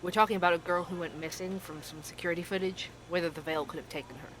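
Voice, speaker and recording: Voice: mid-range voice, fast talker, a bit bright, a little hiss or hum, natural voice, low-quality recording. An actor playing an inquisitive young podcast host who investigates mysteries, in an audio drama. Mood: musing, curious. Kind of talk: audio drama dialogue and narration.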